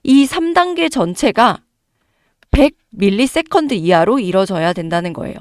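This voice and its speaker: Hoarse woman with a cold, lively pitch. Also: normal speed, no echo, clean studio sound. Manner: emphatic, addresses viewers directly, rhetorical questions. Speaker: stock market commentator. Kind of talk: explanatory market commentary to viewers.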